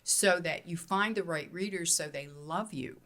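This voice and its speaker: A middle-aged woman romance author from Pennsylvania, answering panel questions in a measured, explanatory way with some restarts, speaking into a handheld mic in a meeting room. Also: lowish voice, fairly bright tone. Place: hotel meeting room, seated at table.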